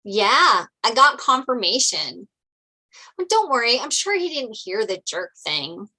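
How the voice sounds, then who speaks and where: A woman's voice: fairly high pitch, big pitch swings, a little slow, bright, forceful tone, slightly rough, voice pushed up, full character voice, poor audio. A woman playing a brash, teasing young waitress best friend in a table read, blunt and gossipy. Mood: breezy reassuring.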